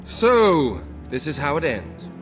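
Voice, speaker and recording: A man's voice: on the high side, wildly swooping pitch, slow pace, full character voice. An actor playing a grave, imperious tyrant king in a video game, speaking solemnly. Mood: grave, defiant. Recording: music under game dialogue. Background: music.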